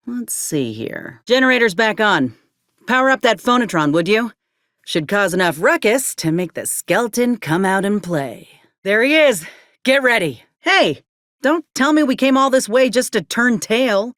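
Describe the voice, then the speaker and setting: A woman's voice: medium pitch, no echo, clean studio sound, natural voice. A woman voicing a plainspoken, wry frontier companion in a video game, with a folksy Southern American drawl. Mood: matter-of-fact, then urgent.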